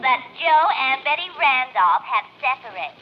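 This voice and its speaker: An actress playing a gushing, gossipy housewife in a confiding, effusive manner, in 1940s radio sitcom style. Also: female voice, high voice, animated, normal speed, a loud crowd. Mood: gleefully scandalized. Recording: dull, noisy old radio recording.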